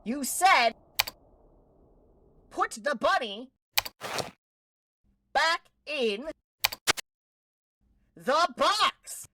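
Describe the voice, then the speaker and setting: A woman's voice: high-pitched, very animated, extremely slow, pauses often, background noise, heavily acted. A woman voicing a commanding, theatrical voice in a boy's head for a cartoon fan dub, issuing grand old-fashioned orders. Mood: stern.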